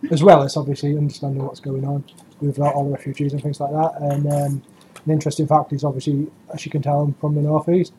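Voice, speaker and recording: Male IT worker, fast casual monotone. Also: on the high side, a little hiss or hum, poor audio. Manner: quick and casual. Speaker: IT staff. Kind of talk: round-the-table introduction.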